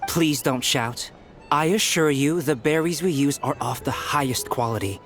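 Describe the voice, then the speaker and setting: A man's voice: fairly high pitch, normal speed, a bit bright, faint music behind, lightly acted. A man voicing a polite, placating waiter in a video game, with a courteous, formal delivery. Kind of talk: animated game voice acting.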